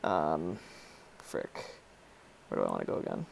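A teenage boy with a Polish accent gives loose, joking commentary while playing a game. His voice is gravelly and swings up and down a lot. He rambles and reads game lines aloud in silly voices. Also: rumbling low, wildly swooping pitch, unhurried, a little hiss or hum. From Poland. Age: teens. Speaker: gaming YouTuber. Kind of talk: casual let's play commentary.

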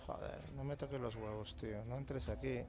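A man's voice: mid-range voice, fairly even intonation, normal speed. A man with an accent from Spain giving casual gameplay commentary, muttering and swearing to himself over game sound. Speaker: gamer commentating a playthrough. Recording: loud game ambience noise. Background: video game sound effects and ambience.

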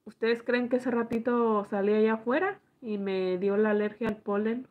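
A woman, hoarse from allergies, chatting slowly with viewers and reading their comments aloud on a live stream from home at night. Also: a little high, narrow, tinny audio. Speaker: livestream host reading chat. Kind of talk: casual live chat with viewers.

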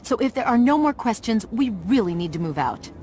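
An actress playing a no-nonsense young woman squad commander in a video game, brusque and authoritative with military briskness. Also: fairly high pitch, sing-song, darkish tone. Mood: impatient. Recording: game audio with music underneath.

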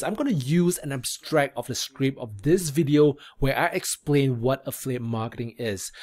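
A young man presenting a casual software review to camera, speaking into a close desk microphone. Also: mid-range voice. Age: young adult. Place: small indoor room. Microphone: desk microphone close in front.

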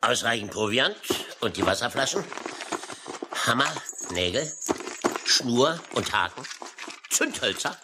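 An actor playing a boisterous, yarn-spinning sailor uncle with salty, hearty exclamations in a children's audio drama. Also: male voice, mid-range voice, very animated, a little slow, pauses often, heavy background noise. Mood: blustery, curious. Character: boisterous, yarn-spinning old seafaring uncle with a wooden leg.

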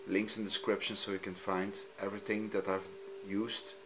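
A fairly low-voiced man from Belgium speaks English with a Dutch accent, pausing often with ums. He talks off camera while filming his TV screen with a phone. Faint room ambience sits behind him. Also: little pitch movement, background noise. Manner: halting, frequent ums, simple non-native phrasing. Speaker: hobbyist youtuber.

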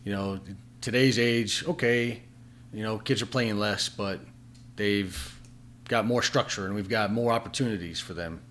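A man's voice: on the low side, fairly even intonation, a little slow, a bit bright, slight background noise. A middle-aged man with an American accent, talking conversationally as an interview co-host. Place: small plain room at a table, indoors. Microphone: desk microphone on stand.

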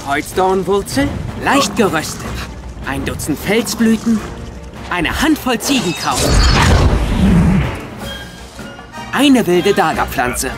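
An actor playing an earnest teenage Viking boy who leads dragon riders, in an animated adventure audio drama. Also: high voice, measured pace, loud music behind the voice. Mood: determined. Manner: earnest, organizing.

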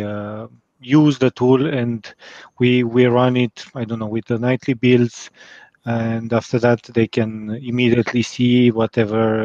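A man explains a process on an online panel with very even intonation. His mid-pitched voice comes through dry and a bit muffled. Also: no room sound. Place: indoors, video call. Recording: dull, dry video-call audio.

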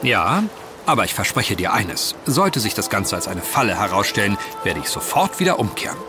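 An unruffled gentleman adventurer with dry wit in an audio drama. His mid-pitched voice has lively melody over clear music. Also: moderate pace. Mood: dryly confident. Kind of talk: German audio drama acting. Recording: music behind the voice.

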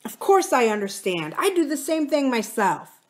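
A woman's voice: sits high, sing-song, normal speed, voice pushed up. A woman voicing a kind, earnest, gullible young male farmer in an audiobook, eager and reassuring. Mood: protective. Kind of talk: audiobook character dialogue.

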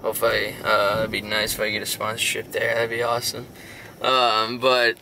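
A man's voice: lowish voice, monotone, moderate pace, gravelly, pushed and bright. An American man in his twenties chatting casually to the camera like a vlogger, with slangy, bro-ish asides to his viewers. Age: 20s. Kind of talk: casual vlog chat to camera. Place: car, large warehouse stores, parking lot. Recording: noisy car and big-box store ambience.

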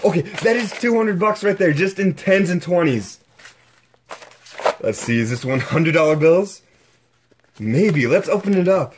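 Excitable young man vlogging a find. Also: high voice, measured pace, pauses often, slight background noise. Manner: excitable, exclaiming over finds. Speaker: exploration vlogger. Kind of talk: excited vlog narration.

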